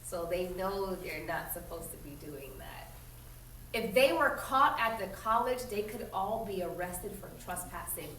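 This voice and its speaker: A woman teacher reading a storybook aloud expressively to young pupils, emphatic and instructive, pausing to explain and ask questions. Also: lowish voice, expressive, a bit bright. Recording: echoey room, distant mic, faint noise.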